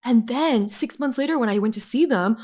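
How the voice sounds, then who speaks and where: A middle-aged woman chatting on a podcast as a guest, telling stories in an animated, expressive way. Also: fairly high pitch, brisk, husky. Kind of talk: casual chat.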